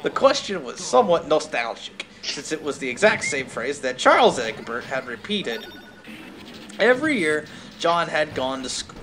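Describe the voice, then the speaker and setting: Guy streamer reading a story out loud, kinda high voice, really animated, wry side comments, bit of noise. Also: normal speed. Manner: wry, self-interrupting. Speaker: streamer, host. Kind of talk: reading fan fiction aloud with casual asides.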